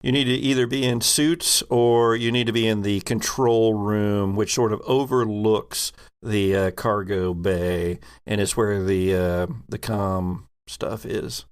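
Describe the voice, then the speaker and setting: Halting man with a fairly low voice. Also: fairly even intonation, clear, resonant tone. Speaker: game master. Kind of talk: improvised narration of a tabletop game.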